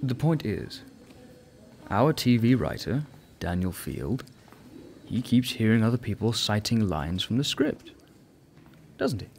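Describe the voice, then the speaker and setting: Enigmatic know-it-all visitor, sly and teasing, lowish voice with a swooping delivery, played by a man. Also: creaky, slight background noise, exaggerated voice. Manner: sly, teasing, knowing, with soft laughs. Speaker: mysterious visitor. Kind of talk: radio play acting.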